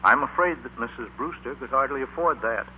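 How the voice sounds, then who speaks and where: Actor as a grave doctor, tinny radio. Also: male voice, mid-range voice, expressive, fairly quick, close to an everyday voice. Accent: American. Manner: formal, serious. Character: grave, sober adult family doctor. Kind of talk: 1940s radio drama acting. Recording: tinny, noisy 1940s radio recording.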